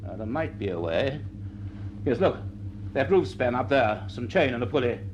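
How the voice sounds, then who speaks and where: An actor playing an intense, authoritative university scientist in a radio drama, speaking with grave, deliberate urgency. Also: male voice, mid-range voice, expressive, a little mellow, lo-fi sound, a little hiss or hum, close to an everyday voice. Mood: resolute. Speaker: narrator and lead actor. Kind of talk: radio drama acting and narration.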